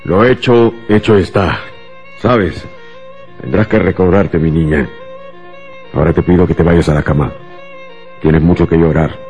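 An actor playing an agitated, self-justifying husband in a radio drama, insistent and theatrical, coaxing and bitter by turns. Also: male voice, deep voice, a little slow, long pauses, darkish tone, soft background music.

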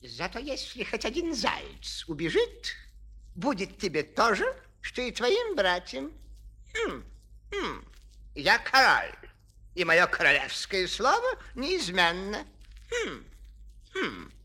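Pompous humming fairy-tale king, bright-voiced. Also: high-pitched, wildly swooping pitch, slow pace, long pauses, full character voice. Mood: pompous, threatening. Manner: pompous, punctuates speech with humming "hm". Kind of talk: children's audio play acting.

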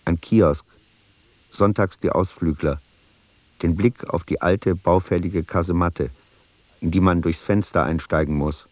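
An actor playing a solitary traveller, giving terse, fragmentary, observational journal notes in a radio play. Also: male voice, low voice, fairly even intonation, unhurried, darkish tone, no room sound. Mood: detached, descriptive. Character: solitary male traveller noting a desolate cape in his journal.

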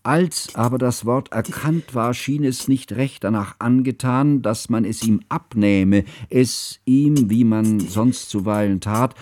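An actor playing a measured, wry narrator who speaks in precise, bookish literary prose in a radio play. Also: male voice, medium pitch, a little mellow, no echo, natural voice. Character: male narrator recalling his eccentric teacher, measured and wry.

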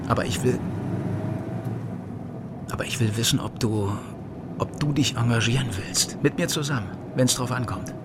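A man's voice: medium pitch, normal speed, long pauses, natural voice. Man playing an embittered secret-police man, car noise. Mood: confiding, pressing. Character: embittered, conspiratorial male former East German state security officer. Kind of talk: German radio crime drama acting. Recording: loud car interior noise.

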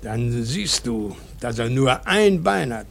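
A blustering old whaling captain from a radio drama, with a gravelly, creaky voice at a middle pitch. He is gruff and bullying, given to cursing and scornful mockery of whoever stands before him. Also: big pitch swings, slow, heavily acted. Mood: gruff, instructing. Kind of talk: German radio play acting.

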